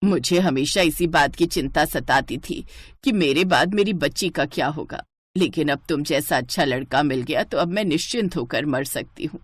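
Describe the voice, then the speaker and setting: Woman acting low-voiced, tender old ailing mother. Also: moderate pace, husky, no echo. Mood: wistful, relieved. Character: ailing, anxious, loving old village mother.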